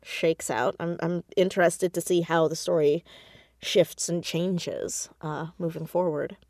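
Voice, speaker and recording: Woman guest chatting, slow pace, wry n playful, real dry sound. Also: lowish voice, steady tone, a little mellow, no echo. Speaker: guest, audio drama creator. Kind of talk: casual interview chat.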